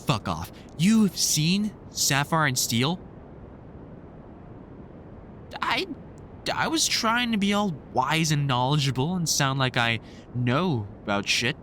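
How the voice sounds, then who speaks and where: A man's voice: mid-range voice, fairly bright tone, slight background noise. A man playing a wry, grumpily fond vampire hunter in a conversational audio roleplay, teasing and swearing through rambling explanations. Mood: mock annoyed.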